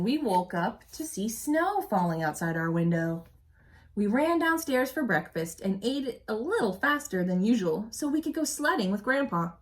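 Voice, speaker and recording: Animated woman reading a picture book aloud. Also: fairly high pitch. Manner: animated storytelling with explanatory asides and questions to young listeners. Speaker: early childhood educator reading to children.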